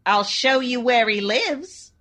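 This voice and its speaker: A woman's voice: a little high, animated, takes it slowly, fairly bright tone. An actress playing a brash, lovesick young chorus girl in a comedic old-time radio drama, with slangy, melodramatic tough-dame talk. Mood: earnest, scheming.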